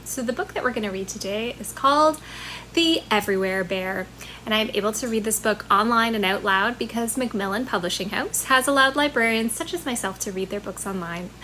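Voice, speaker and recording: A woman's voice: on the high side, fairly quick, sharp tone, a little hiss or hum, low-quality recording. A woman working as a children's librarian, presenting storytime in a simple, sing-song way for small children.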